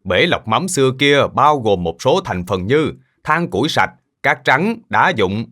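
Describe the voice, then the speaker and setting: Kindly uncle, lively teaching delivery. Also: male voice, mid-range voice, unhurried. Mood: patient, instructive. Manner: patient, instructive. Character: kindly older man patiently teaching children. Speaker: fish sauce maker explaining to children. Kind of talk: scripted cartoon explanation.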